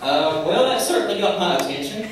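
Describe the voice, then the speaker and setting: A man's voice: high voice, lively intonation, fairly quick. A man voicing a genial, dryly polite police officer puppet, the straight man in a church puppet show. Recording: very echoey hall, distant mic, noisy.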